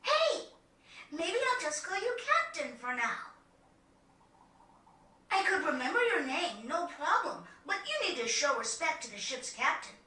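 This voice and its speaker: A woman's voice: sits high, very animated, a little slow, pauses often, bright, forceful tone, echoey room, distant mic, full character voice. A woman voicing an eager, chatty, cheeky young boy in a video game, with a chirpy, informal delivery. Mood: puzzled, cheeky. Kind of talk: video game cutscene acting.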